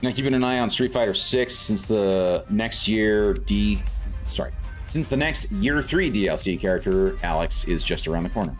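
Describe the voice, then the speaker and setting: Low-voiced man chatting through a game. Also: animated, normal speed. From South Africa. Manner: chatty, rambling, thinks aloud while playing. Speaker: streamer. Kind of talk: casual gameplay commentary. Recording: faint game music behind.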